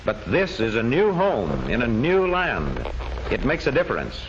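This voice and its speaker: A man narrating an old educational history film from a script, in measured, declamatory storytelling phrases. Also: mid-range voice, huge pitch swings. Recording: dull old film soundtrack with faint noise.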